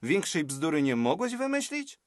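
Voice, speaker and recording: A man's voice: fairly high pitch, big pitch swings, moderate pace, no echo, studio quality, pitched above the performer's own voice. A man voicing a sarcastic, jittery girl in a storybook reading, scoffing and exclaiming.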